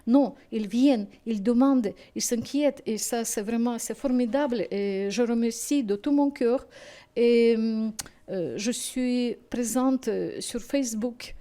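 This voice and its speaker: A tired woman with a Ukrainian accent and a native Russian speaker's French, her voice mellow and pitched a little high. She talks haltingly and emotionally, searching for words after sleepless nights. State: exhausted and sleepless. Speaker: studio guest. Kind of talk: television interview.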